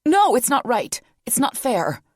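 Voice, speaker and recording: A woman voicing a passionate young woman who pleads in a high, loud, desperate voice. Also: big pitch swings, fairly quick, dry recording, clean studio sound.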